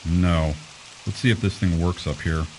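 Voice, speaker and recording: Casting: male actor as blustering police commissioner, low voice, sarcastic and impatient, radio mystery. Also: fairly even intonation, normal speed, darkish tone, slight background noise, lightly acted, poor audio. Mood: businesslike, grumpy. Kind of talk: audio mystery drama acting.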